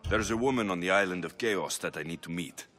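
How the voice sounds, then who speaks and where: A young Spartan mercenary hero from a video game, measured and direct. His voice is low yet bright-toned and lively in pitch, with faint music behind it. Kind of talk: video game cutscene acting.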